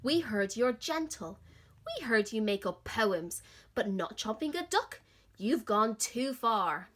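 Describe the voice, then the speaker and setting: A woman reading aloud, voicing a pack of fierce lions in a loud, menacing way. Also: on the high side, very animated, takes it slowly, exaggerated voice. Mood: scolding.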